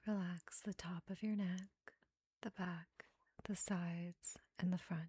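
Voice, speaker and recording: Slow, breathy, half-whispering woman guiding meditation. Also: lowish voice, fairly even intonation, no echo. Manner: soothing, gentle instructions in short phrases with pauses. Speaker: meditation guide. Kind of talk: guided meditation, soft relaxation narration.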